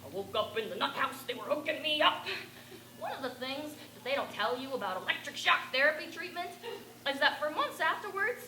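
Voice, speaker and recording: A teenage girl playing an eccentric, rambling bag lady who talks to aliens, with a raspy voice and a ranting, confiding stage-monologue delivery. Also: sits high, animated, fairly quick, pushed and bright. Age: teens. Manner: ranting, confiding, addressing passers-by. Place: stage performance. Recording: echoey room with background noise.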